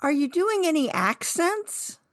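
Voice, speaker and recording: A woman's voice: high-pitched, sing-song, measured pace, dry recording, studio quality. A woman co-hosting a radio interview, asking questions and encouraging her guests. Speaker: interviewer, co-host.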